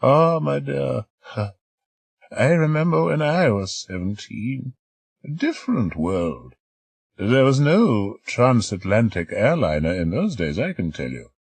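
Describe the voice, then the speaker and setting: Male narrator doing an elderly grande dame, spry, quick-witted, amused; pitched well above a deep voice, very dry sound. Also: sing-song, creaky, husky, no room sound, full character voice. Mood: kindly, chatty. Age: older. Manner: brisk, sharp-tongued, amused. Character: spry, teasing elderly woman, grand old stage star.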